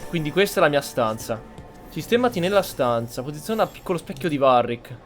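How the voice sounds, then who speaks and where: A man's voice: a little high, big pitch swings, fast. An Italian man giving casual playthrough commentary as a gaming streamer. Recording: game music behind the commentary.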